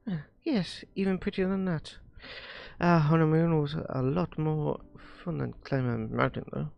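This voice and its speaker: A man reading visual novel dialogue aloud on a live stream, haltingly, often stumbling over words and repeating phrases. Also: fairly high pitch, steady tone, gravelly, muffled recording.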